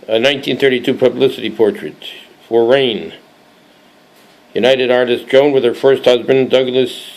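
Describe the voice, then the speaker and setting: A hoarse man with a cold hosting a casual show-and-tell, reading aloud from a book with many halting restarts and fillers. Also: mid-range voice, a little mellow, rough recording. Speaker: collectibles channel host.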